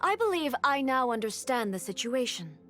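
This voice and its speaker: A stern divine ruler, cold and imperious, handing down clipped commands from a video game. The voice sits a little high for a woman and carries a faint musical score beneath it. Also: sing-song, normal speed, fairly bright tone, soft background music. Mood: cold, commanding.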